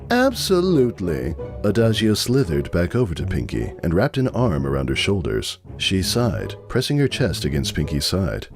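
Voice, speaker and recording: A man's voice: sits low, big pitch swings, moderate pace, hardly any pauses, over music. A man giving a scripted dramatic reading of a story as its narrator.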